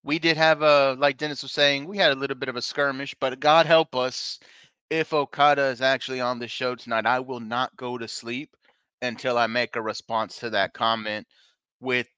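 A man's voice: medium pitch, fairly even intonation, normal speed, pushed and bright, smooth, no room sound. A man co-hosting a casual live wrestling podcast from a bar, upbeat and plugging the show between topics. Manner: upbeat, plugging social media, teasing. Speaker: podcast co-host.

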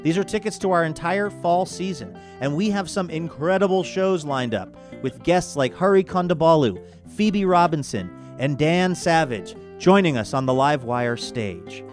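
A man's voice: fairly high pitch, expressive, normal speed, few pauses, soft background music. A man hosting a radio show, talking in a conversational, enthusiastic way with wry asides. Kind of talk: upbeat show promo and interview talk.

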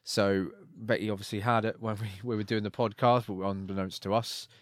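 A man's voice: lowish voice, steady tone, a little fast, dry recording, clean studio sound. A man co-hosting a podcast in casual chat, a little nasal and congested from a cold. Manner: easygoing, slightly nasal from a cold. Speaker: podcast co-host.

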